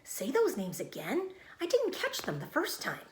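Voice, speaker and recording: Boastful, cheerful piglet for storybook read-aloud, woman performer; excitable, high and swooping, roomy sound. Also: normal speed, noisy background. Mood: boastful, amazed. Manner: excitable, naive, exclamatory. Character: eager, boastful, cheerful young male pig.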